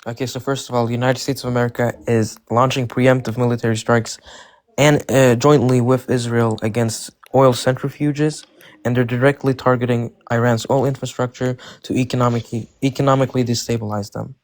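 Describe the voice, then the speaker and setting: A man from Iran with an average-pitched voice talks in a live chat, defensive and blunt, throwing in bro at every turn. His voice sounds dry and close. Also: level tone, a little fast, dry recording. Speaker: caller. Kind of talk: casual argumentative chat.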